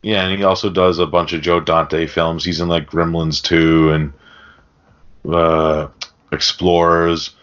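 A man with a very low voice talks casually about films as a podcast co-host. He explains details with sweary enthusiasm, and the recording is slightly dull and lo-fi. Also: level tone, a little slow, fairly bright tone. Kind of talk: casual film discussion.

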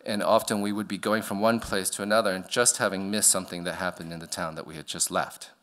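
A middle-aged architect, a man born in Vietnam and raised in the US, gives a slide lecture from a podium in an auditorium, pausing often with fillers and restarts. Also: sits low, steady tone, a bit bright. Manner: hesitant, many fillers and restarts. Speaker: architect giving a talk. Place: dark auditorium stage at a podium.

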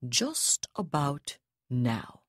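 A woman reading the lines of a calm, contemptuous male naval captain in an audiobook, speaking slowly and evenly. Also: extremely low pitch, dry recording, studio quality, full character voice. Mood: coldly calm.

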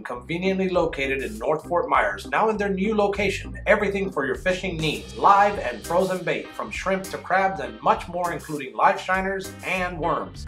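A woman reading local radio-style commercials in a smooth, upbeat voice-over. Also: lowish voice, a bit bright. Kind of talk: read commercial. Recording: roomy with music bed.

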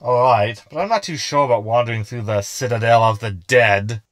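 A skeptical, easily unnerved hologram, voiced by a man, carps sarcastically through a sci-fi comedy drama. The voice is medium in pitch and recorded with no room sound at all. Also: moderate pace, fairly bright tone, dry recording, clean studio sound, natural voice. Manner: sarcastic, querulous.

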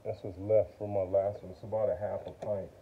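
A noisy outdoor phone recording of a middle-aged man with a fairly low voice. He talks informally and haltingly as he works, explaining things in folksy, slangy terms. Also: flat delivery, phone-quality sound. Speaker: vlogger demonstrating generator maintenance. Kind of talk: casual how-to chat while working. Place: outside by a wooden porch.

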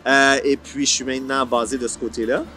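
A man's voice: mid-range voice, flat delivery, fairly quick. A man in his forties with a Franco-Ontarian accent, talking casually in an outdoor interview and mixing in English words. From Ottawa, Canada. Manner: colloquial, sprinkles in English words. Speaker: series creator being interviewed. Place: outdoor park film set. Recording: soft music bed under the voice.

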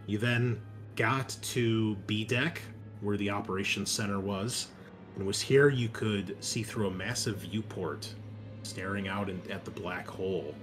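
A man game master recapping a tabletop session in a casual, conversational way, halting at times and leaning on descriptive words. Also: on the low side, music in the background. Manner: casual, sweary, conversational asides. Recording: clear background noise, room ambience.

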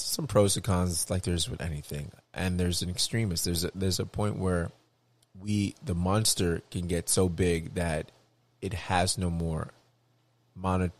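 A man co-hosting a podcast in a casual, slangy, bantering chat. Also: deep voice. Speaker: podcast co-host.

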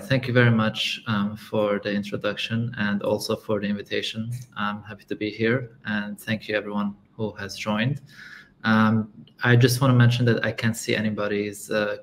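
A man's voice: on the low side, a little hiss or hum. A man in his thirties from Lebanon, giving an earnest talk with slides over a video call from a room at home. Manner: calm, earnest storytelling with frequent ums. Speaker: guest speaker. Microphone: video call webcam mic.

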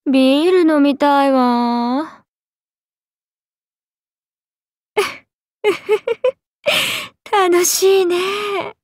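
A woman's voice: extremely high pitch, drawn-out words, no room sound, clean studio sound, heavily acted. A woman voicing a playful, teasing, carefree oni goddess in an anime game, with a casual, airy drawl. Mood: languid, amused. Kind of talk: Japanese anime game voice acting.

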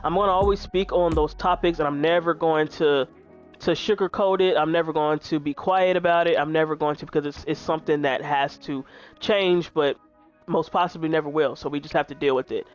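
A woman in her twenties with a deep voice, chatting candidly to her viewers while she plays a video game. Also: steady tone, brisk, faint music behind. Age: 20s. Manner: frank, chatty, talks straight to viewers. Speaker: gaming YouTuber.

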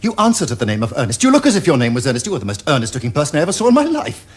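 An actor playing a flippant, teasing Victorian gentleman dandy, with an arch, theatrical upper-class English delivery. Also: on the high side, huge pitch swings, rapid-fire, slightly rough, exaggerated voice. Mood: mocking, playful. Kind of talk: period stage comedy acting for television.

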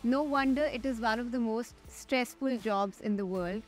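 Higher-pitched young Indian woman presenting. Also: moderate pace. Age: young adult. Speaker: host, airline pilot. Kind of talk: scripted interview hosting and presenting. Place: large glass-walled airport tower room. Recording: music under the voice. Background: background music.

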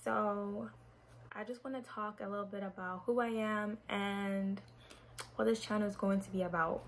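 A woman's voice: medium pitch, fairly even intonation, moderate pace, a little hiss or hum. A young woman from Ghana chats to the camera in her bedroom. She talks in an earnest, chatty way and restarts her sentences loosely as she goes. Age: young adult. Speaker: vlogger. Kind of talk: casual introductory vlog. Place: bedroom, indoors. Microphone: camera in front at close range.

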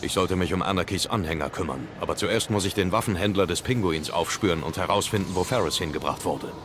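A man's voice: low voice, fast, background noise, close to an everyday voice. A man playing a grim, terse, brooding masked hero in a German audio drama. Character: grim, determined masked male vigilante hero. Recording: loud music behind the voice.

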